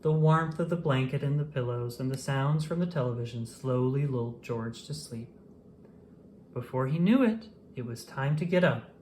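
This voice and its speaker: A person reading a children's storybook aloud in an expressive, animated way with dramatic emphasis. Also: medium pitch, moderate pace, slight background noise, low-quality recording. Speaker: children's book reader.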